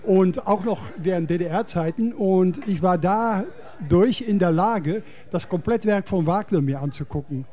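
Guest, male, high voice, wry self-deprecating storyteller. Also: measured pace, dark tone. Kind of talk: casual anecdote in a radio talk.

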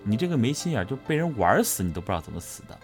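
A man's voice: medium pitch, big pitch swings, rapid-fire, soft background music. A man, a voice actor, giving a casual, explanatory interview answer and repeating phrases for emphasis. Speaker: voice actor interviewed about his character.

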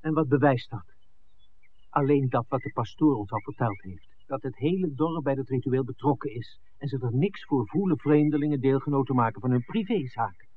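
A man's voice: mid-range voice, a little fast, narrow, tinny audio, a little hiss or hum, natural voice. A man playing a dry, easygoing film maker in a radio drama from the 1960s, on a thin old recording. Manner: dry, wry. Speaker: radio play actor.

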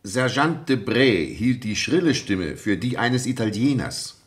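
A man's voice: mid-range voice, animated, unhurried, natural voice. A man acting as a brusque, commanding police prefect in a German radio play, questioning with dry irony. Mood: impatient, businesslike. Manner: commanding, probing, dryly ironic. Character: brusque, sardonic male police prefect leading an interrogation.

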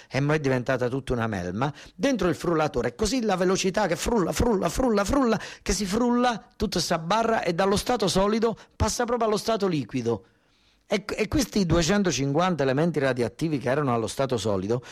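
A man with a southern Italian accent from Basilicata performs a theatrical first-person monologue in colloquial, dialect-tinged speech, repeating himself for emphasis as if explaining things to his family. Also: a little high. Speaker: stage actor performing a narrative monologue.

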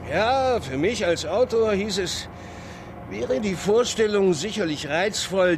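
High-voiced, insistent man, a sardonic conspiracy chaser, acted. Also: a little hiss or hum, natural voice. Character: talkative male author, an obsessive, conspiracy-chasing whistleblower. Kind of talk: radio drama dialogue.

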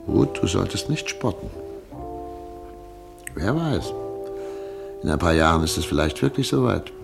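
An actor playing Albrecht, a hopeful German émigré doctor in a radio play, talking with dance music behind him. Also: male voice, low-pitched, very animated, unhurried, heavily acted. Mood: eager. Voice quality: creaky.

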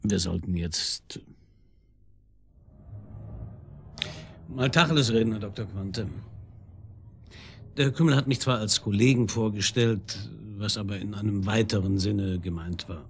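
An actor playing a shrewd homicide detective in a radio crime drama, probing with dry irony. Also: male voice, lowish voice, normal speed, vocal fry, slight background noise. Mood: firm, official. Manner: ironic, probing, chuckling understatement.

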